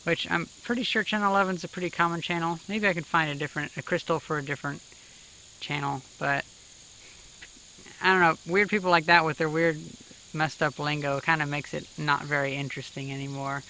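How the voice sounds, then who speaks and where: A man's voice: a little high, fairly even intonation, brisk, pushed and bright. A man giving casual hobby vlog narration in a tentative, self-deprecating way outdoors. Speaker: vlogger. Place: outdoors in rural countryside. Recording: noisy outdoor field recording.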